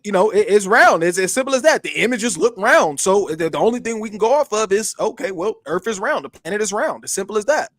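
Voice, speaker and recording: A high-pitched man argues casually as host of an online stream. He is insistent and circles back over his phrases, in a very dry sound. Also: expressive, quick pace, few pauses, no room sound. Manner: insistent, repeating himself. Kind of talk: casual debate.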